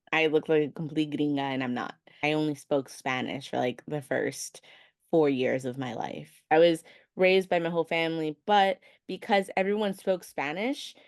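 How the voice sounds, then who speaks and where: Low-voiced woman co-host, dry sound. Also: no echo. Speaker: podcast co-host. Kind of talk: casual podcast chat.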